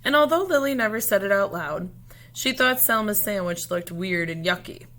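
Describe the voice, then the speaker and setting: A woman reading a children's picture book aloud expressively, in measured phrases with pauses, as a storyteller speaking to young listeners. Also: on the low side, fairly bright tone.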